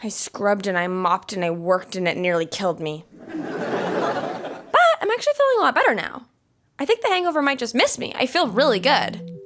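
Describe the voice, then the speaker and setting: An actress playing a high-strung, melodramatic young woman in an audio sitcom. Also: very animated, moderate pace, a bit bright, a little hiss or hum. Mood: frantic. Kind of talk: podcast sitcom acting.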